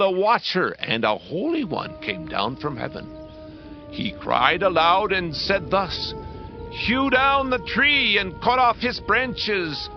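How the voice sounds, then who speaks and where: A man's voice: high voice, full character voice. A grand, swooping ancient king. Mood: grave, troubled, then commanding. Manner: grand, declamatory, solemn proclaiming. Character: proud, awestruck king of ancient Babylon. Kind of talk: dramatized scripture reading, theatrical acting. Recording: dull band-limited audio under loud music. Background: loud dramatic music.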